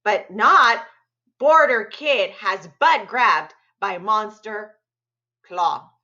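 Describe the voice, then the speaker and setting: A woman's voice: mid-range voice, animated, extremely slow, pushed and bright, full character voice. A woman voicing a frightened, melodramatic young boy in a storybook read-aloud, pleading and earnest. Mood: wry, dramatic. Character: frightened, melodramatic young border boy narrating his tale. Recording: dull, muffled lo-fi audio.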